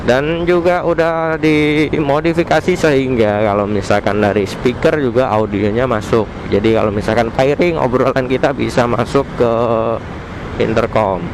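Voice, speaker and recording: A man's voice: medium pitch, fairly even intonation, normal speed. A man with a colloquial Jakarta Indonesian accent gives a casual, slangy gear review into a helmet headset mic while riding outdoors. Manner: informal, slangy. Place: outdoors on a motorbike at night. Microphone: modified headset mic inside helmet. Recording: loud road and engine noise, helmet mic. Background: traffic and vehicle noise.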